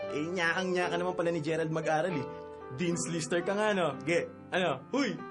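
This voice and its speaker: Casting: actor as upbeat young Filipino man, teasing older brother, highish animated delivery, radio drama with music behind. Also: normal speed, close to an everyday voice. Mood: teasing, proud. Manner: teasing, playful, casual. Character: cheeky, upbeat college-age elder brother.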